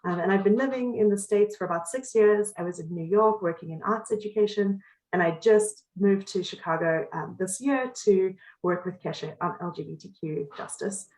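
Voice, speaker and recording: A woman's voice: medium pitch, moderate pace, darkish tone. Woman, South African accent, upbeat presenter, welcoming, echoey room. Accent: South African (Cape Town). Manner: upbeat, welcoming, frequent ums. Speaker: community educator leading a workshop. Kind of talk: friendly presentation over video call.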